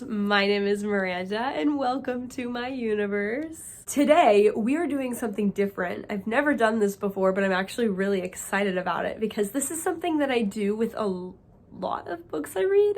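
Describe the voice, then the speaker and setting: Young woman, slightly high voice, nasal from a cold, eager casual book chat. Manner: excited, chatty, talks to viewers as friends. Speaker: book vlogger.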